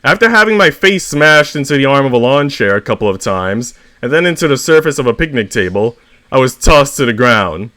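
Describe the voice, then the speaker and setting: A man's voice: mid-range voice, very animated, brisk, pushed and bright, no echo. A man voicing a shaken, affronted magazine that tells its story in an aggrieved, wounded way.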